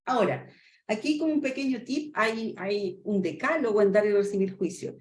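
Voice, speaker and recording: A Chilean woman in her fifties giving a webinar lesson in a quick, animated way, acting out short dialogues and asking rhetorical questions. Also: mid-range voice. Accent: Chilean Spanish. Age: 50s. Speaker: trainer. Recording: echoey room with faint noise.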